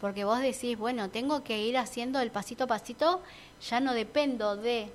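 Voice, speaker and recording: A talkative woman with an Argentine Spanish accent shares her views in casual chat. Her voice sits a little high and she muses with fillers and restarts. Faint ambient noise hums behind her. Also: normal speed. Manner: chatty, reflective, many fillers and restarts. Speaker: entrepreneur guest.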